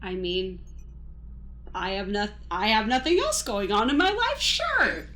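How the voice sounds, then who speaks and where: A woman's voice: medium pitch, very animated, unhurried, bright, forceful tone. A woman playing a timid, aimless thirty-something drifter, stammering and apologetic, in an improvised comedy radio play. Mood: meek, agreeable. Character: timid, aimless thirty-five-year-old wanderer named Fred. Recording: light background noise.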